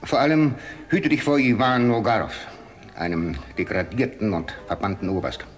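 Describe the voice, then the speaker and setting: Radio play monarch: commanding, solemn Tsar male actor, low voice, measured imperial delivery. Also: soft background music, lightly acted. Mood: grave warning. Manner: formal, imperious, measured phrasing. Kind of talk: German radio play acting.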